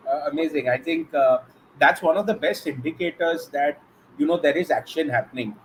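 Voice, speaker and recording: A man's voice: fairly high pitch, fairly quick, low-quality recording. A man with an Indian accent hosting and moderating a live question-and-answer show. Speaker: host. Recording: faint background noise.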